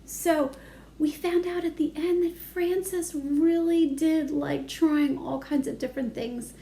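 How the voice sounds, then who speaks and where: A woman's voice: high-pitched, fairly even intonation, takes it slowly, dark tone. A woman reading a picture book aloud to young children, with simple phrasing and asides to her listeners. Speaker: children's storytime reader.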